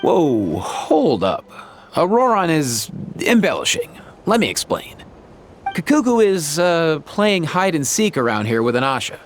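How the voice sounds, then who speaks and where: A man's voice: fairly high pitch, wildly swooping pitch, a little slow, exaggerated voice. An actor playing an easygoing, good-natured young man in a video game, slangy and readily exasperated. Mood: incredulous. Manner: slangy, sighing and exclaiming. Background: faint game background music.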